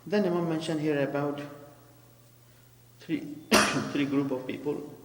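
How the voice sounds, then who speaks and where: A man's voice: a little high, steady tone, measured pace. Man preaching in an echoey hall. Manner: explains terms, asks the congregation. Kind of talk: sermon commentary. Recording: echoey hall with faint hum.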